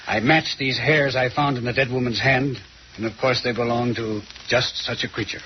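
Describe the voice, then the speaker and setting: An actor playing a confident, condescending detective who lectures and teases in an old-time radio mystery. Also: male voice, medium pitch, steady tone, moderate pace, natural voice. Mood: explaining. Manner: dramatic, lecturing, teasing. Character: confident, theatrical male detective, shrewd and condescending. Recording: muffled, noisy old radio recording.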